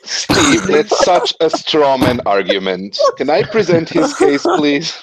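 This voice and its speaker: Playful man, bouncy higher voice. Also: huge pitch swings, takes it slowly, creaky, background noise. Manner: playful, sarcastic. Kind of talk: casual group chat.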